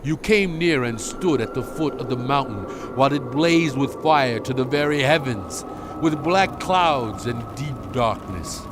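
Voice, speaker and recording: A man playing a solemn, stern prophet and leader exhorting his people in a measured, dramatized scripture reading. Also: medium pitch, lively intonation, background noise, natural voice. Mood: solemn, reverent. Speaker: Bible narrator.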